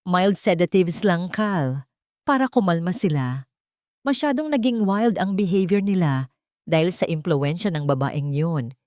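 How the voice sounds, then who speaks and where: A woman's voice: on the low side, lively intonation, measured pace, darkish tone, no room sound, studio quality. A woman with a Filipino accent voicing a poised, falsely soothing rich schemer in an audiobook, assured and explanatory. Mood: smoothly reassuring. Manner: assured, explanatory, mixes English into her talk.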